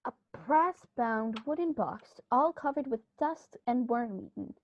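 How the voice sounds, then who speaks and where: A woman playing a flustered, excitable country gentleman in a radio play, full of fussy exclamations. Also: a little high, measured pace, muffled recording. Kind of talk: amateur radio play acting.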